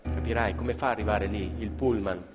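An actor playing an Italian factory worker, telling of strikes in a colloquial, theatrical radio monologue. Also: male voice, fairly low pitch, steady tone, a little fast, lightly acted. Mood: confiding. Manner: colloquial, dramatic, acts out remembered dialogue. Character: fiery Italian factory worker recounting strikes and police charges. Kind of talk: theatrical monologue in a live radio play with music. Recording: live music under the voice. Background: live musical instruments.

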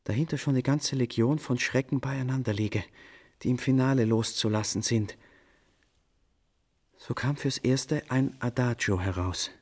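A male actor plays an impulsive composer with a playful temper, in a German radio drama. He speaks at a middling pitch. His storytelling is animated and ornate, full of old-fashioned turns of phrase. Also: unhurried, close to an everyday voice. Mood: excited, absorbed. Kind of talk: German radio play acting.

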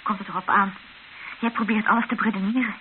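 A woman's voice: medium pitch, fairly even intonation, fast, lightly acted. An actress playing a resolute, fatalistic woman in danger in a radio drama. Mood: tense, resolute. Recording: hissy, narrow-band old radio recording.

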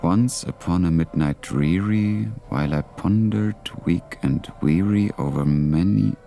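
An actor playing a wry, dryly ironic British wartime intelligence officer in a radio drama. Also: male voice, sits low, unhurried, vocal fry, warm tone, faint music behind. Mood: musing, ironic.

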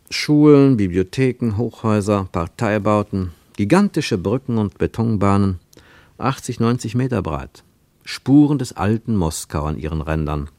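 Radio drama narrator: low register, measured descriptive delivery of scripted travel prose, dry studio. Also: male voice, a little mellow, dry recording. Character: male literary narrator of a Moscow travel account.

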